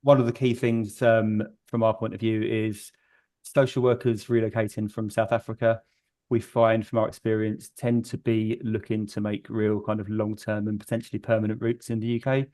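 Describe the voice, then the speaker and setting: A man's voice: lowish voice, level tone, normal speed, mellow, dry recording. A man speaking as a recruitment business director on a webinar panel, matter-of-fact and businesslike, over a video call.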